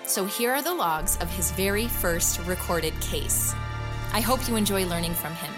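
A woman's voice: on the low side, expressive, normal speed, lightly acted. Actress as earnest woman podcast reporter telling a mystery, asks rhetorical questions, music under it. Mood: intrigued, serious. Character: earnest, intrigued woman investigative podcast journalist. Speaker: podcast host. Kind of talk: scripted investigative podcast narration.

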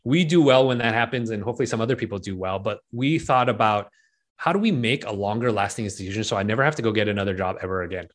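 A man's voice: lowish voice, quick pace. A man in his late thirties from Penang, a guest investor talking casually and loosely, with lots of likes and rights. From Penang, Malaysia. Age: 30s. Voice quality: relaxed, filler-heavy talk with many likes and rights. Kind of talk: casual interview chat.

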